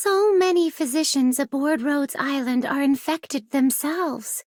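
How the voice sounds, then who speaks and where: An actress playing a gentle, solemn, idealistic woman in an anime game, speaking reflectively. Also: high voice, unhurried. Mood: solemn, hopeful. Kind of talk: scripted game character lines.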